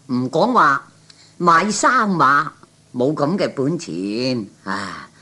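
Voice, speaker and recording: A man's voice: medium pitch, very animated, slow, pushed and bright, breathy edge, heavily acted. A man voicing a sly, proud but broke gambler with a Cantonese accent in a 1960s comic radio play, drawling and dismissive. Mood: amused, evasive. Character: sly, proud, broke male gambler playing big shot.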